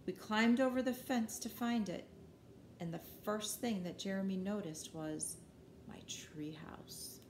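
A middle-aged woman giving an expressive children's storybook read-aloud, with measured, dramatic emphasis on key words. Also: medium pitch. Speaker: teacher reading a picture book aloud. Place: living room at home, indoors. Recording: roomy echo, noticeable background noise.

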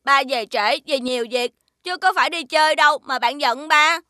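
A perky magical cartoon sidekick. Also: female voice, sits high, fairly quick, sharp tone, no echo, clean studio sound. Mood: teasing. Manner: teasing, perky. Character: cheeky magical little companion.

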